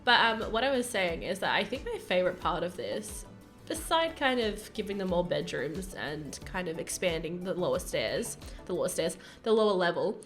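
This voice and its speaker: A young woman chatting casually over a game build video, rambling and giggly with lots of fillers, her voice croaky from early morning. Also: lowish voice, big pitch swings, moderate pace, faint music behind. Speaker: gaming YouTuber narrating a build.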